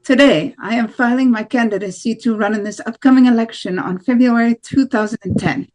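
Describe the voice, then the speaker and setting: Woman reading as high-voiced nationalist female candidate. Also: a little fast, darkish tone, close to an everyday voice, low-quality recording. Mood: declarative. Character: far-right nationalist female presidential candidate, combative. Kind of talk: amateur table read of a play.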